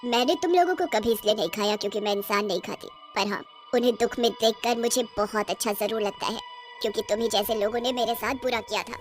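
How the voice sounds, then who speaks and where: Woman acting a cartoon witch, high voice, vengeful, gloating, menacing drawn-out threats, music underneath. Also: natural voice. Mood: bitter, vengeful. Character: vengeful, gloating female witch who runs a factory. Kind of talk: Hindi cartoon horror story acting.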